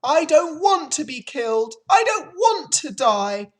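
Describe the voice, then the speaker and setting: Lady doing a childish little boy pig from a kids book, super high, whiny and panicky, pleading. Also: huge pitch swings, drawn-out words, darkish tone, full character voice. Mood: panicked screaming. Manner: wailing, pleading, emotional. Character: anxious, childish young male pig, easily panicked. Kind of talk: storybook character voice.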